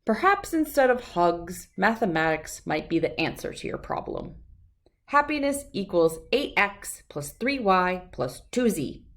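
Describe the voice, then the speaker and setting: A woman voicing a thoughtful, pedantic T. Rex father in a storybook, deliberate and professorial. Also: lowish voice, sing-song, a bit bright, heavily acted.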